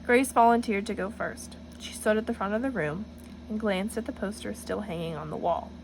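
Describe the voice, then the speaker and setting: A woman reading a picture book aloud to children in an encouraging, teacherly way, pausing to address them directly with questions. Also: mid-range voice, noisy background. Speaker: storybook reader for children, student teacher.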